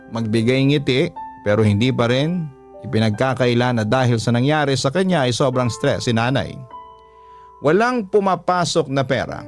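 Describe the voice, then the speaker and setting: A man narrating a listener's letter in a heartfelt, measured way. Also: medium pitch. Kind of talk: reading a listener's letter aloud.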